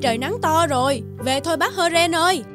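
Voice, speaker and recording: Woman acting a high-voiced young village mother. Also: a little fast, fairly bright tone, faint music behind. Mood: friendly concern. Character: friendly, concerned young village mother neighbour. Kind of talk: animated fairy tale voice acting.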